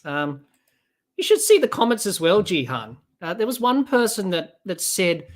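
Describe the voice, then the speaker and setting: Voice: medium pitch, very animated, moderate pace. A person in their twenties with an Australian accent, hosting a casual live cricket podcast, chatting loosely and reading out viewer comments between balls. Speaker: podcast host.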